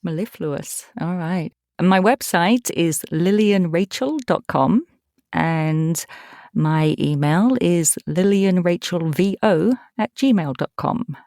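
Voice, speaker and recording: A woman with an English accent and a slightly low voice talks casually as a podcast guest. She is playful and pokes fun at herself. The recording is close and dry, free of room echo. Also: slow, creaky, dark tone. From East Anglia, England. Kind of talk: casual chat.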